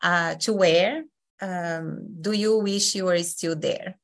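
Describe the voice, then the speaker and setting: A woman from Brazil with a Brazilian accent, hosting an online session as facilitator in a friendly, unhurried way with many fillers. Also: fairly low pitch, steady tone.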